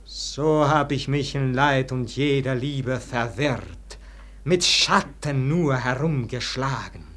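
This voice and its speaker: Jaded aristocrat in radio verse drama: very slow, grave declamation, mid-range voice, theatrical swells and hushed falls. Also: male voice, mid-range voice. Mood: agitated regret. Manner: solemn, theatrical, measured declamation swelling and falling to hushed tones. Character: weary, disillusioned nobleman brooding over an unlived life. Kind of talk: classic German radio verse drama, declamatory stage delivery.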